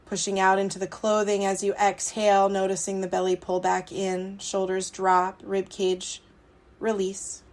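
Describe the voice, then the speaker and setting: Woman doing a yoga nidra style guided meditation, soothing, pretty flat tone, short phrases w long gaps. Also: mid-range voice, a little slow.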